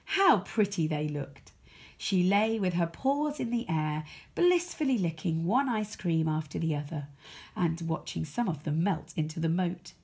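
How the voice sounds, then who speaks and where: Female storyteller, children's narration, lively intonation, creaky edge, expressive, dramatic emphasis. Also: lowish voice, a little slow. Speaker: storyteller, teacher reading aloud.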